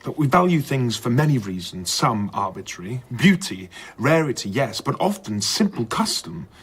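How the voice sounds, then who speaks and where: An actor playing a worldly, sceptical gentleman in an audio drama, arguing in an assured, rhetorical way. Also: medium pitch, sing-song, moderate pace, slightly rough, full character voice. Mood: dismissive.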